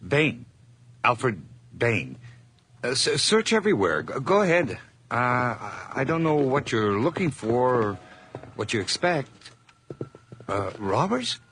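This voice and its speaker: A man's voice: mid-range voice, sing-song, slow, long pauses, a bit bright, breathy edge, exaggerated voice. An actor playing a nervous, overly eager hired man who stammers through forced cheer in a radio drama. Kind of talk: 1980s radio drama acting.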